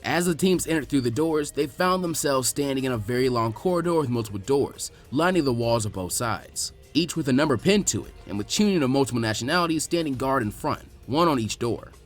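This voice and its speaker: A man's voice: medium pitch, animated, brisk, few pauses. Man narrating fan fiction over music. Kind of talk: scripted story narration. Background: faint music.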